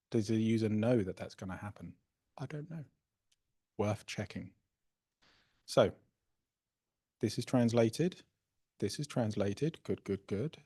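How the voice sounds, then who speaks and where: A man presenting a software workshop, casually explaining a live demo and often checking in with "right?" and "okay?". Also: lowish voice, breathy edge. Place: workshop room.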